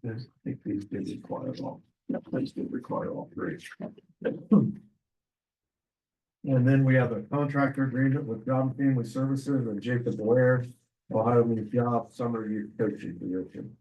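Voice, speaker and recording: A man losing his voice, presenting agenda items at a public meeting in a businesslike way, clearing his throat often. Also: medium pitch, steady tone, normal speed, highs cut off. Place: county meeting room. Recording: echoey meeting room, distant mic.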